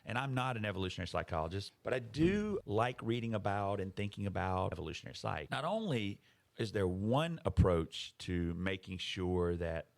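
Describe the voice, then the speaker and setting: A man's voice: fairly low pitch, big pitch swings, normal speed, gravelly. A man co-hosting a podcast, explaining ideas in casual conversation, emphatic and fond of rhetorical questions. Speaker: podcast co-host.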